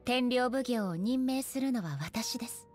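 An actress playing a stern, aloof woman shogun in an anime game, speaking formally with measured authority. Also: on the high side, expressive, a little mellow, faint music behind. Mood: stern, composed. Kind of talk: anime game character acting.

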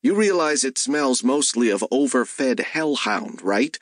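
A man's voice: medium pitch, expressive, a little slow, no room sound, clean studio sound. A man voicing an exasperated, sarcastic male detective in an audiobook, with indignant delivery.

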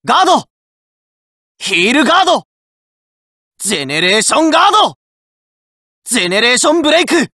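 An actor voicing a fiery, determined teenage boy card fighter who shouts his game battle calls in rough boyish speech, recorded in a dry studio. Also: extremely high pitch, big pitch swings, a little fast, pauses often, dry recording, full character voice. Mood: battle cries.

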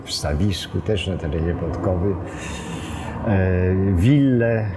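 A man, telling local history informally, haltingly and with wry asides. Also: low voice, expressive. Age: 70s. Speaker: villa owner telling its history. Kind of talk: informal storytelling to camera, reminiscing. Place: open wooden veranda outdoors among trees. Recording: outdoor background noise, birdsong, small clicks.

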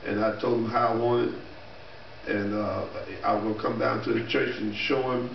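A man's voice: lowish voice, level tone, normal speed, vocal fry, highs cut off, a little hiss or hum. Man, middle-aged, pastor, chatty storytelling, echoey church, distant mic. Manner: chatty storytelling with laughs and repeated amens. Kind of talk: casual talk from the pulpit. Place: small church sanctuary, indoors. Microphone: podium mic at a distance.

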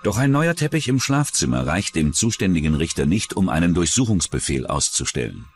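A man narrates a true-crime documentary in a deep voice with lively intonation. The scripted delivery runs over faint music. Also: brisk.

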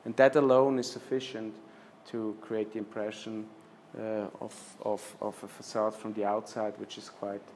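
A man in his fifties presenting slides in a lecture room, speaking with many restarts and fillers, trailing off softly at the ends of phrases. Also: on the low side, level tone, normal speed, a little hiss or hum. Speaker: architecture lecturer. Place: lecture room with projector screen.

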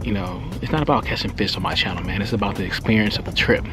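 A man's voice: lowish voice, fast, over music. A man in his forties talking casually to viewers while hiking a trail, chatty and jokey, calling them y'all. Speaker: fishing vlogger. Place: outdoors on sandy trails in scrubland. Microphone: chest-mounted action camera.

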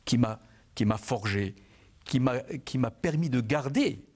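A man's voice: medium pitch, lively intonation, unhurried, a little mellow. A man, a guest author in a TV studio interview, reflective and often repeating words as he searches for them. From Algeria. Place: TV studio set, indoors.

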